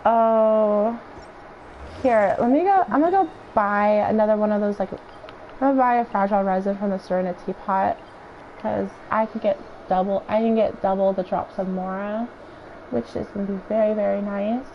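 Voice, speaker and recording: A hoarse woman with a raspy voice, chatting and thinking aloud in casual gameplay commentary as she streams. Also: medium pitch, moderate pace. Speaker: game streamer. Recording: dull lo-fi stream audio with faint noise.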